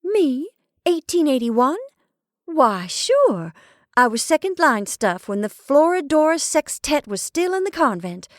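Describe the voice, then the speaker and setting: A breezy young showgirl who teases with mock-dramatic flair, as a woman reader puts her on. She pitches higher than the narration and bounces along lightly. The sound is close and dry. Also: sing-song, a little slow, no room sound. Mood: teasing. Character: flippant teasing young chorus girl.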